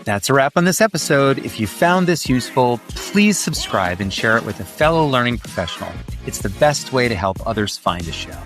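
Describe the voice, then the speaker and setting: A man's voice: medium pitch, sing-song, brisk, few pauses, over music. A man hosting an interview podcast, friendly and affirming, putting questions to his guest and wrapping up. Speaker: podcast host.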